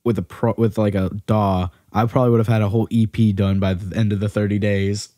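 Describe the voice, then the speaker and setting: A man's voice: on the low side, flat delivery, fast, dark tone, no room sound, clean studio sound. A young man in casual podcast chat, teasing and joking with his co-host.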